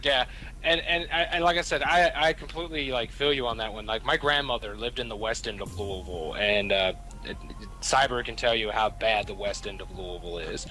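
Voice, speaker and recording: A man's voice: lowish voice, brisk, sharp tone, soft background music, rough recording. A young man with a Southern Kentucky accent telling rambling, profane stories in a casual chat, often stopping and restarting. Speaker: podcast host.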